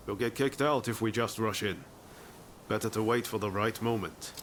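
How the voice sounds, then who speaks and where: A man's voice: lowish voice, moderate pace, a bit bright, slight background noise, lightly acted. A man playing a pragmatic, persuasive warrior in a video game cutscene, speaking directly and with resolve. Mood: practical, calm. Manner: plain-spoken, direct.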